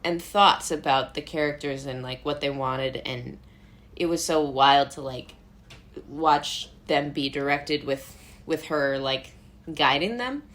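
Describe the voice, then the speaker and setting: Low-voiced American woman, chatty and rambling. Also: slow. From Brooklyn, New York, USA. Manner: rambling, animated asides with frequent "like" and self-interrupting laughs. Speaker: playwright, director and actor, interview guest. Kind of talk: casual conversational interview.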